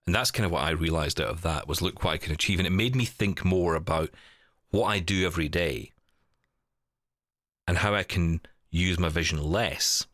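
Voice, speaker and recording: A man hosting a podcast, talking in a casual, chatty and rambling way with animated storytelling. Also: sits low, normal speed, no echo, clean studio sound. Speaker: podcast host and producer.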